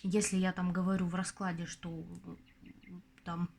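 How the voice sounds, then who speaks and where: A young adult woman hosting her own channel as a tarot reader, chatting casually to her viewers, her voice going hoarse. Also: on the low side, little pitch movement, normal speed, darkish tone, a little hiss or hum. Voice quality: husky. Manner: chatty, confiding, addresses viewers as girls. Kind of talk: casual advice talk to viewers.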